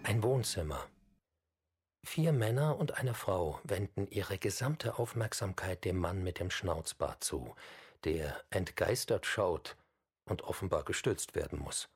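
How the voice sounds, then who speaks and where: A man reading scripted narration for a radio feature, with a slightly husky voice. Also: sits low, expressive, unhurried, heavily acted.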